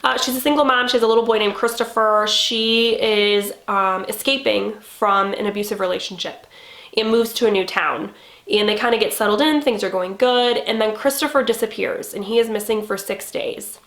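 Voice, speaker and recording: A woman's voice: mid-range voice, normal speed, fairly bright tone, reverberant. Nasal young woman with a cold. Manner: chatty, rambling with fillers. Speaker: BookTube host. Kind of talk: casual reading-plans chat.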